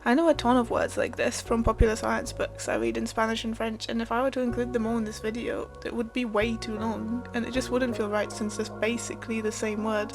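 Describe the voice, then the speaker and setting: A young Polish-born woman with a slightly high voice narrates a scripted voice-over, thoughtful and wry, with a touch of self-deprecation. Music plays clearly underneath her the whole time. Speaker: art and language vlogger.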